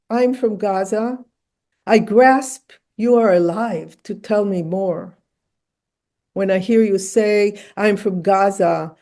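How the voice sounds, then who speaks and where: A woman reads a poem slowly and with care, letting each line settle before the next. Her voice is dark and mellow, unhurried and deliberate. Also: medium pitch. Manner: slow, measured, deliberate delivery. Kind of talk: spoken-word poetry recitation.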